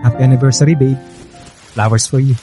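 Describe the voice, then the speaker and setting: A man's voice: medium pitch, steady tone, a little fast, over loud music, lightly acted. A man playing an attentive, worried young boyfriend in a Filipino radio romance, with a Filipino accent and a coaxing manner. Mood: doting, concerned.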